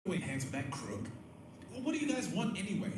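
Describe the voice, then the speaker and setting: A lively, slightly high-voiced young male college student, acted by a man, flustered and exasperated in an audio play. His delivery is hesitant, and the sound is echoey and far off. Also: a little fast. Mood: sceptical, exasperated. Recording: echoey, distant, noisy livestream playback.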